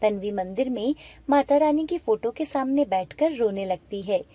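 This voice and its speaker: High, mellow woman narrating a story. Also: animated, normal speed. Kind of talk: scripted narration of an animated moral story.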